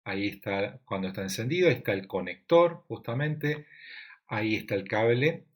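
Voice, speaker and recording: A man with an Argentine accent giving a technical medical presentation over slides, explaining in a steady way with frequent fillers. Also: lowish voice, moderate pace. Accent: Argentine Spanish. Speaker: lecturer at a medical imaging congress.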